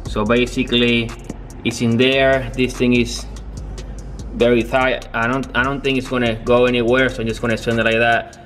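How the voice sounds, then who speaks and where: A man's voice: medium pitch, little pitch movement, a bit bright, faint music behind. Casual young man in a garage. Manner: casual, halting, with fillers and restarts. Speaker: car builder. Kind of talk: casual workshop vlog. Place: garage workshop, indoors.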